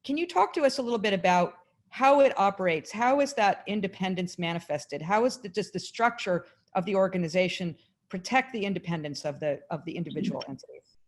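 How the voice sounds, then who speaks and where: A woman's voice: mid-range voice, animated, brisk. A woman moderating a panel in a conversational way, putting questions to guests.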